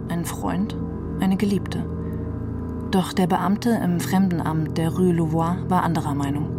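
Music plays prominently behind a woman with a fairly low voice. She reads literary prose in the manner of a scripted radio narration. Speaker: reader of literary excerpts.

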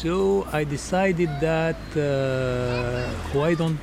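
A man's voice: a little high, very slow, creaky. A man from Sudan with a Sudanese accent, talking off the cuff in an interview outdoors at a street café. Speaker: interviewee, poet. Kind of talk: spontaneous interview talk. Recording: loud urban street noise.

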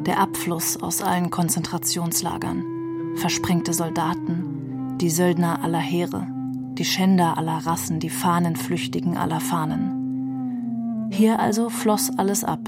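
A woman's voice: lowish voice, steady tone, unhurried. A woman giving a literary reading of a first-person novel. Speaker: reader of literary excerpts. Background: music.

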